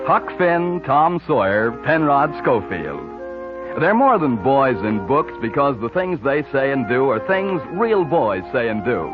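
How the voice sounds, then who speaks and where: A man's voice: mid-range voice, big pitch swings, a little slow, music in the background. A man working as a radio announcer, reading introductions and sponsor copy in 1940s style. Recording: dull, hazy 1940s radio broadcast.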